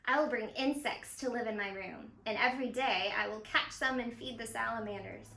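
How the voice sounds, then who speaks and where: Woman reading as an eager, bright-voiced young boy. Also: on the high side, moderate pace. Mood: eager, earnest. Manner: earnest, confident, explaining plans. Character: eager, imaginative young boy in a picture book. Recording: roomy echo of a home interior.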